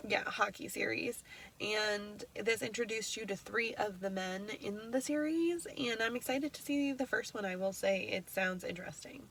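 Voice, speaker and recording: A woman's voice: on the high side, gravelly, a little hiss or hum. Congested chatty young woman. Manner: chatty, trails off with ums and self-corrections. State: congested, nasal. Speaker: book vlogger. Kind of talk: casual reading wrap-up.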